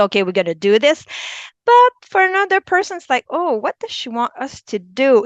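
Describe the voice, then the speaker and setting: A woman in her fifties with a Quebec French accent, a teacher presenting a webinar and often pausing to search for her words. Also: on the high side, dry recording. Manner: hesitant, searching for words, many ums.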